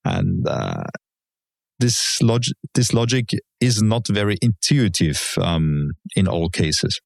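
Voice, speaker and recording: Deep gravelly man, halting. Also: big pitch swings, no echo, clean studio sound. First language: German. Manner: hesitant, many fillers and restarts, measured explanations. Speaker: guest, legal professional. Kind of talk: relaxed conversational interview.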